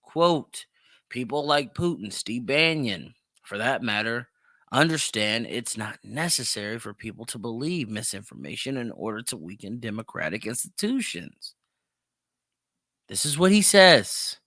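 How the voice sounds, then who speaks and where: A man's voice: mid-range voice, sing-song, a little slow, bright, forceful tone, no room sound, studio quality. A man giving opinionated solo commentary as a podcast host, emphatic and repeating phrases for stress.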